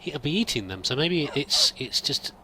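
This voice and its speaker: A man's voice: a little high, big pitch swings, moderate pace, sharp tone, a little hiss or hum, rough recording. A man roleplaying a pilgrim in a game, musing and thinking aloud in a hesitant, wandering way. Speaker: let's play roleplayer. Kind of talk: in-character game commentary.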